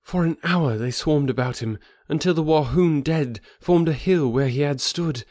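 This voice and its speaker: Man doing a young woman, devoted, earnest, raised pitch, formal fervent speech, dry close sound. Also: normal speed, a little mellow, dry recording, lightly acted. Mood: sad.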